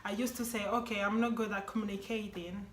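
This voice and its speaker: A woman's voice: medium pitch, level tone, fast talker, a bit bright, a little hiss or hum. A young woman whose first language is not English, chatting casually with a friend, peppering her talk with "like" and "actually". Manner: chatty, frequent "like" and "actually", laughs often. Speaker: vlog host. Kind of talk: casual chat. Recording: echoey room.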